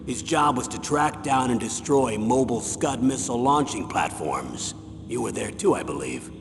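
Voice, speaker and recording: A man acting as an aging military colonel in a video game, grave and persuasive, with a gravelly voice and measured, authoritative delivery. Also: lively intonation. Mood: grave briefing. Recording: echoing hall, vehicle rumble noise.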